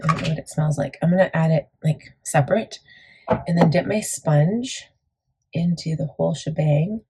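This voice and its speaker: Beauty vlogger, female, deep and husky, warm mellow tone, chatty and meandering. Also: normal speed, slight background noise, poor audio. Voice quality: gravelly, deep. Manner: chatty, rambling, many fillers. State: morning voice, a bit crackly. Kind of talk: casual makeup tutorial chat.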